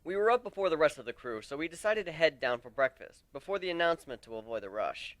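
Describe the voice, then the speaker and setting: A man reads a fantasy story aloud as its narrator, with a very animated pitch. His delivery is conversational and dramatic, recorded close and dry. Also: fast, no room sound. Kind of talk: dramatic first-person story reading.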